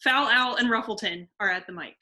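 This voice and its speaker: A woman's voice: a little high, fairly quick, sharp tone. A woman reading scripted stage directions as narrator at an online table read. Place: online video call.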